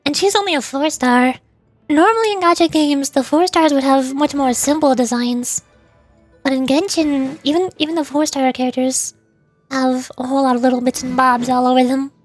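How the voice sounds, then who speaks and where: A woman with a high voice gives casual game-stream commentary, chatty and thinking aloud. The sound is close and dry, with faint music underneath. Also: steady tone, moderate pace, no echo.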